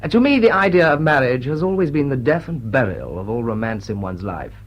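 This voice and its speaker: An actor playing a cynical, smitten upper-class bachelor lord in a period drawing-room drama, witty and arch. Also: male voice, mid-range voice, big pitch swings, a little fast. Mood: smitten, earnest. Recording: dull, muffled audio.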